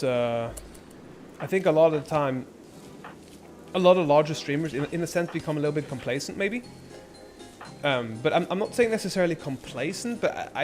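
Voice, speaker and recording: Rambling Norwegian-born streamer man. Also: a little high. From Norway. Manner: rambling, laid-back, lots of filler and swearing. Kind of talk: casual live-stream chat.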